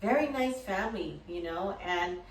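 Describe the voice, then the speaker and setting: A middle-aged woman telling personal stories casually, rambling in an animated way and acting out remembered dialogue. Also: mid-range voice, moderate pace, a little hiss or hum. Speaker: dog-business entrepreneur and course creator. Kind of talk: casual personal storytelling to camera. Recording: roomy echo, distant from mic.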